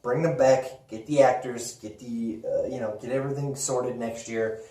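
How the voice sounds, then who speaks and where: A man talks some way from the microphone in a roomy, echoing space. He sounds like an excitable show host in a casual fan chat, informal and emphatic, with a medium-pitched voice. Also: moderate pace. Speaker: host of a pop culture video show. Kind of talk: casual enthusiastic fan discussion. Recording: roomy echo, distant mic.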